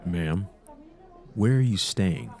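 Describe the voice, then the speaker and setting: Woman acting a deep, mellow male police officer. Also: lively intonation, slow pace, exaggerated voice. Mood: insistent, formal. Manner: formal, firm questioning. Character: insistent, formal male police officer questioning a witness. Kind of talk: ASMR audio roleplay.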